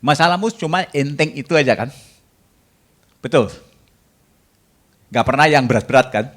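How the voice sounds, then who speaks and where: A man's voice: mid-range voice, animated, normal speed, fairly bright tone. A man preaching a sermon in a jokey way, bantering with the congregation and mixing in English phrases. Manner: jokey, banters with the congregation and asks them to raise hands.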